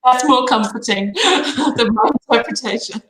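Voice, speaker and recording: A woman's voice: fairly high pitch, normal speed, a bit bright, echoey room, poor audio. A woman joining a live reading as a guest, chatting casually in short, tentative remarks. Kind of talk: casual chat.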